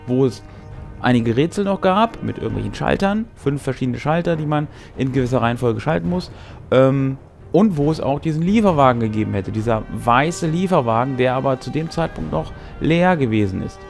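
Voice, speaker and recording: A man's voice: mid-range voice, expressive, brisk, creaky. A man in his thirties, a game master giving explanatory commentary on a tabletop game to camera. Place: small indoor room with brick wall. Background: music.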